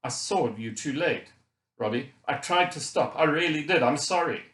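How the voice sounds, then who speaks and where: A man's voice: mid-range voice, lively intonation, unhurried, a bit bright, reverberant. Man doing a remorseful, wobbly-voiced Scottish father. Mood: remorseful, upset. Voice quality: wobbly, unsteady. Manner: earnest, apologetic. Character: guilt-ridden, kind Scottish father, remorseful driver. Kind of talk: audiobook character dialogue.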